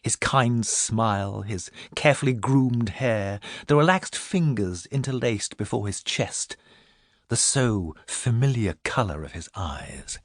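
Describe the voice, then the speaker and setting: A man's voice: lowish voice, animated, measured pace, no room sound, clean studio sound. A man reading a story as an audiobook narrator, in dramatic scripted narration.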